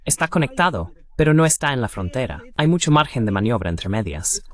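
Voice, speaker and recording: A man's voice: mid-range voice, very animated, brisk. A man giving a translated voice-over of an interviewer's commentary and questions.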